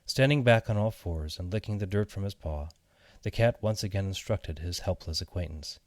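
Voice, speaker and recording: A man narrating a children's fairy tale from a script in a measured, expressive storytelling manner. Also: deep voice, no echo, clean studio sound. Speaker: audiobook narrator.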